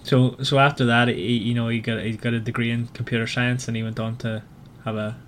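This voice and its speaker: A man hosting a casual book club chat in a hesitant, halting way, often restarting his sentences. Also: medium pitch, flat delivery, fairly bright tone, a little hiss or hum. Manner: hesitant, halting delivery with repeated false starts. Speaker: podcast host.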